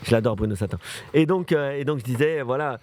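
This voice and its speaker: A man's voice: medium pitch, fast talker, crowd noise. A man in his thirties from France, a football show presenter, telling stories in casual talk and repeating phrases for emphasis. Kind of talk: casual chat on a livestream.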